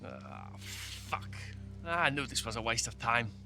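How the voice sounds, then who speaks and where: An actor playing a boastful, irritable, wealthy Viking jarl in a video game. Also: male voice, mid-range voice, wildly swooping pitch, slow pace, gravelly, music in the background, exaggerated voice. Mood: irritated. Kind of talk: cinematic video game acting.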